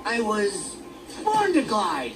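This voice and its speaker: A man's voice: high voice, wildly swooping pitch, takes it slowly, recorded from a distance, noisy background, full character voice. A man voicing a brash, wisecracking young dragon hero in a video game cutscene. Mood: cocky. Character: cocky young male purple dragon hero. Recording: roomy game audio with music.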